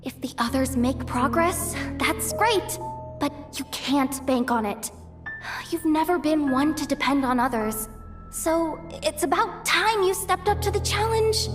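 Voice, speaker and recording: A woman voicing a plucky, flustered young female technician in an anime video game, often talking to herself. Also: high-pitched.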